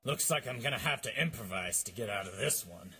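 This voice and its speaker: An actor playing a pompous, self-important, dim detective, melodramatic in a 1950s-style radio drama. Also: male voice, on the low side, animated, fairly quick, sharp tone, husky, heavily acted. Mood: bold, commanding.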